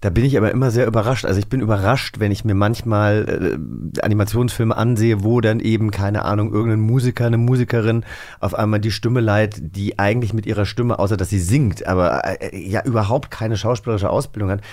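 A man's voice: fairly low pitch, fairly even intonation, brisk, a little mellow. A man hosting a casual interview. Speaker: host.

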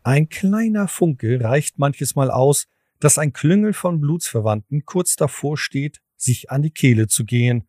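A man narrating an audio drama in a formal, deliberate, literary storytelling manner. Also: on the high side, animated, mellow, no room sound, studio quality.

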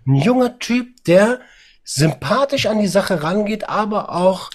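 A man hosting a podcast, talking in an upbeat, animated casual way while he has a cold. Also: high voice, a little slow. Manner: upbeat, animated, says "geil" and "Alter". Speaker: podcast host.

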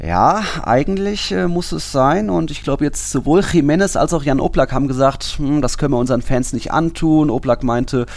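A man's voice: a little high, moderate pace, vocal fry, hardly any pauses, dry recording. A man explaining things in casual podcast conversation, in loose, rambling sentences. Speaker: podcast co-host.

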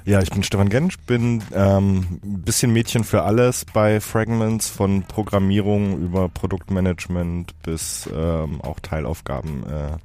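A man's voice: deep voice, steady tone, unhurried, few pauses, mellow. A man chatting casually as a guest, hesitantly searching for words. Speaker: VR studio product officer, interview guest. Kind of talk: casual chat.

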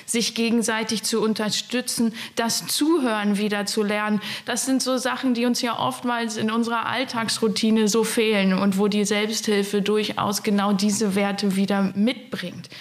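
A woman's voice: medium pitch, little pitch movement, normal speed, few pauses. A woman giving conversational interview answers as a self-help organisation worker.

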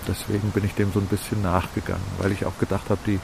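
A man being interviewed on a walk outdoors, explaining local things conversationally and haltingly as he thinks. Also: low-pitched, flat delivery, creaky. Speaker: interviewee, music school director and local guide. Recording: outdoor field recording with faint hiss.

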